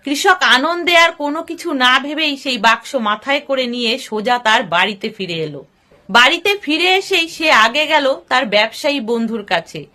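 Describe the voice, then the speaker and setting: High, bright woman storyteller. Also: animated, moderate pace. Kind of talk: scripted story narration.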